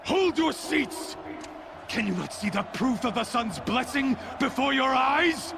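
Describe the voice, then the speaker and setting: Booming fanatical cult leader. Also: male voice, lively intonation, unhurried, creaky, heavy background noise, exaggerated voice. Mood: commanding. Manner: booming, preaching oratory to a crowd. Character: fanatical male cult leader, middle-aged, commanding and cruel. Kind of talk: video game cutscene acting. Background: loud orchestral music.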